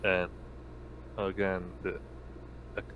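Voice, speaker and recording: A man running a tabletop game, narrating off the cuff in a halting way as he searches for words. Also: sits low, monotone, narrow, tinny audio, background noise. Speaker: game master.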